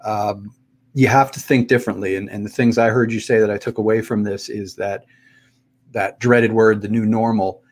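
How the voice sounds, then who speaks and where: A man's voice: lowish voice, steady tone, moderate pace, a little mellow. A man hosting a live online interview, speaking in a friendly way and reading out viewer comments. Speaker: host.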